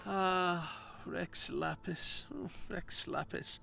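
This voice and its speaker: A man's voice: high-pitched, fairly even intonation, drawn-out words, gravelly, pushed and bright, soft background music, exaggerated voice. A man voicing a theatrical, business-minded townsperson musing aloud in a video game. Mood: musing, calculating. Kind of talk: video game character acting.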